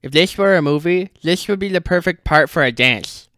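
A man voicing an excitable, dramatic teenage cartoon boy in a whiny, theatrical way. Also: a little high, normal speed, no echo, voice pushed up, natural voice. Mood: dreamy, excited.

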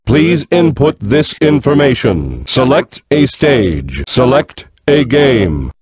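Stern video game combat robot, slow stiff delivery, pitch steps up and down a lot. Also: male voice, noisy background. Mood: flat, procedural. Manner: stiff, measured, monotone-phrased machine delivery. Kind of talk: video game character voice lines.

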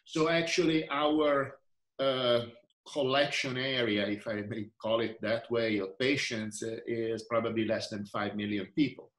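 A man's voice: medium pitch, steady tone, measured pace, fairly bright tone, echoey room. A man in his sixties with an Italian accent, answering interview questions over a video call with many fillers and restarts. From Italy. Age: 60s. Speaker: researcher and physician. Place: indoor room.

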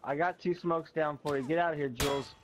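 Calm, upbeat man on a team call. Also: on the high side, a little fast, a loud crowd. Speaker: teammate. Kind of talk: live gameplay chat.

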